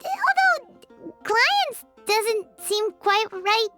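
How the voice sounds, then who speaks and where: Tiny childlike fairy sidekick, video game, very animated, drawn-out delivery, excitable, bossy. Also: female voice, over loud music, full character voice. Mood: indignant. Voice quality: squeaky, childlike. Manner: refers to herself in the third person, exclamatory.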